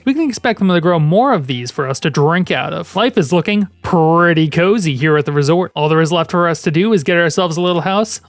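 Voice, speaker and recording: A narrator giving scripted comedic commentary over gameplay, deadpan and sarcastic, swearing casually. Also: medium pitch, dry recording. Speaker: video essay narrator.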